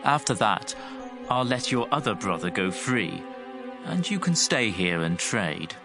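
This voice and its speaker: A deferential, honest man of Canaan. His lowish voice is animated and earnest, set over clear dramatic music in a Bible audio drama. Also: a little slow, exaggerated voice. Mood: serious, reporting. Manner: respectful, earnest. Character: humble, honest brother from Canaan, deferential grain buyer.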